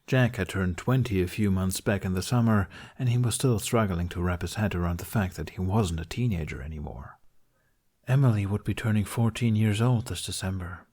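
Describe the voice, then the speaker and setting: A man's voice: low voice, brisk, darkish tone. A man reading a fantasy story aloud as a scripted audiobook-style narration. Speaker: podfic narrator reading fan fiction.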